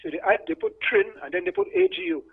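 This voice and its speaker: An older man with a Trinidadian accent giving an emphatic, opinionated explanation on a radio call-in, halting and repeating words. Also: very high voice, creaky. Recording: dull lo-fi phone line on radio.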